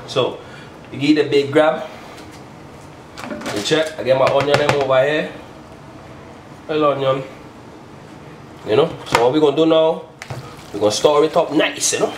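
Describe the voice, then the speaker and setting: A man's voice: on the high side, slight background noise. A man, chatting playfully as he demonstrates a home cooking recipe. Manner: playful and chatty, tags lines with "you check?". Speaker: home cook host. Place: small home kitchen indoors. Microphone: camera mic at a distance. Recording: roomy kitchen from far off.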